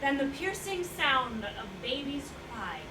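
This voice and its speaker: A woman's voice: high-pitched, very animated, a little slow. An actress playing a wry, sardonic woman with a pointed, ironic way of speaking in a staged play reading. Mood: storytelling. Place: indoor staged reading, distant microphone. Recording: echoey, noisy room recorded from far off.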